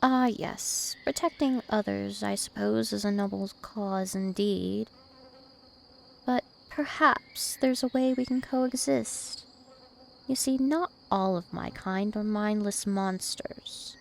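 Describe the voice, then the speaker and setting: Casting: woman as an immortal vampire princess for ASMR roleplay, teasing and possessive, creaky voice over noticeable insect-like night ambience. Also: medium pitch, a little hiss or hum. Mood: calm, probing. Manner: slow, deliberate, teasing and possessive.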